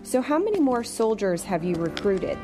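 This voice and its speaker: A woman's voice: a little high, sing-song, normal speed, over music. A woman playing a sensible, exasperated queen in a comedic audio drama, questioning sternly in formal phrases. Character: exasperated, sensible queen scolding her foolish husband.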